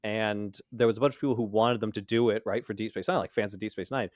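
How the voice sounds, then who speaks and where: Low-voiced man in dry podcast chat. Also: fairly even intonation, quick pace, darkish tone, dry recording. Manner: knowledgeable fan explaining details. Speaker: podcast co-host. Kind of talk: casual chat.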